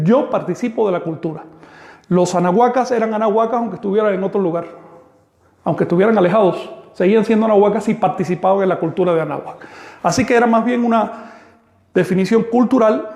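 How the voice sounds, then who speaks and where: A man giving an online language lesson, explaining terms slowly and deliberately. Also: sits high, a little mellow. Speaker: language teacher. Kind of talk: online lecture. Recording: echoey room.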